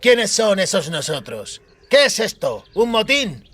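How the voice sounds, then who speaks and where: A man's voice: high-pitched, sing-song, a little slow, dry recording, pitched above the performer's own voice, full character voice. A man voicing a furious, loyal henchman in a fantasy audiobook, howling his accusations. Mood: outraged. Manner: howling, shouting.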